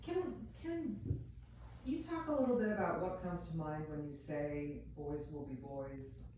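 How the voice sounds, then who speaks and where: A woman's voice: sits low, a little slow, warm tone. Distant, very echoey woman asking from the audience. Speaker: audience member asking a question. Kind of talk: earnest spoken question. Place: audience at a live event, away from the mic. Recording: very echoey, distant mic, some noise.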